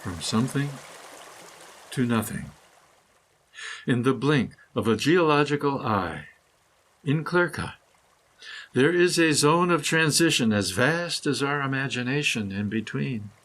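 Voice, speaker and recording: A man reading a scripted, poetic guided meditation in measured, deliberate phrases with pauses. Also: mid-range voice, lively intonation, fairly bright tone. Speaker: guided meditation reader, author.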